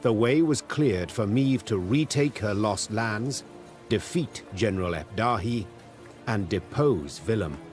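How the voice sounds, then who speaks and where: A man playing a solemn chronicler, narrating a fantasy video game in a grave, deliberate storybook manner. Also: fairly low pitch, lively intonation, a little mellow, soft background music. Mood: solemn, epic. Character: solemn male chronicler of a war saga.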